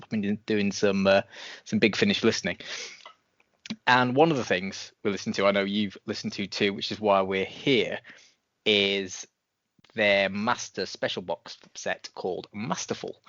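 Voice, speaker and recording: Low-voiced man hosting a casual podcast. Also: a bit bright, no room sound. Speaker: podcast host. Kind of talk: casual review chat. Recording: dull, dry podcast audio.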